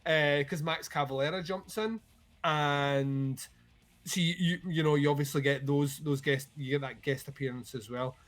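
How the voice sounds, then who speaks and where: A man's voice: fairly high pitch, steady tone, moderate pace, a bit bright. A man co-hosting a livestream who rambles through a casual chat about albums and swears freely. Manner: rambling, many fillers and restarts, swears freely. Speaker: co-host.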